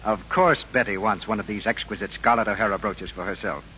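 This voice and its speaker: A man's voice: on the low side, brisk, bright, forceful tone. An American man announcing in a 1940s radio advertising style, with polished, emphatic salesmanship. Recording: dull, hissy old radio recording.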